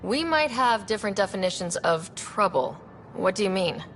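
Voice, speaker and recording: An actress playing a young, wry human expedition leader in a video game, talking in a casual, natural way. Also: female voice, medium pitch, very animated, moderate pace, a bit bright. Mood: guarded, dry. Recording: clear background noise.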